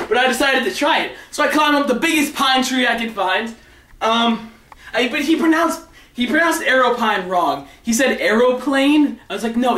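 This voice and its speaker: A man telling comic stories in an animated, excitable, rambling way with dramatic build-ups. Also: very high-pitched. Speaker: storyteller. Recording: roomy echo, far from the mic.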